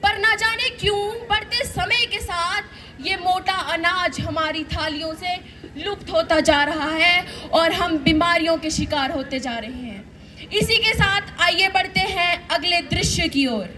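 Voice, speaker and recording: A woman's voice: very high voice, a little slow, bright, forceful tone, a faint crowd, exaggerated voice. A young female narrator declaiming scripted narration in a school stage play. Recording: distant mic, faint stage noise.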